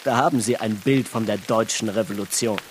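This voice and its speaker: A man's voice: lowish voice, brisk, slight background noise, close to an everyday voice, poor audio. An actor in a radio drama playing a smug, talkative bourgeois businessman, pompous, sardonic and fond of lecturing. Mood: mocking, lecturing. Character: smug, talkative bourgeois Berlin businessman, conservative and self-satisfied.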